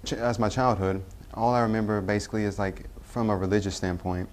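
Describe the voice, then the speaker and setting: A young man from Tennessee, telling his personal faith story in a halting way, with frequent pauses and self-corrections. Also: on the low side, steady tone. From Tennessee, US Southern. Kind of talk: personal testimony.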